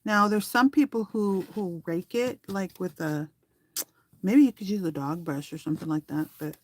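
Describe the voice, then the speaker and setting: A woman's voice: lowish voice, normal speed, no room sound. A woman hosting a craft tutorial in a casual, rambling, conversational way, thinking aloud as she works with her hands. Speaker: craft tutorial host and small online shop seller.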